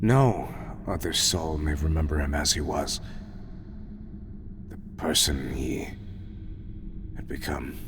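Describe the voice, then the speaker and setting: A man playing a weary, proud, grieving god in a fantasy audio roleplay, solemn and formal, often breathy. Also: extremely low pitch, exaggerated voice. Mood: weary, mournful. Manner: solemn, measured, formal archaic phrasing. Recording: clearly noisy, ambient background sound.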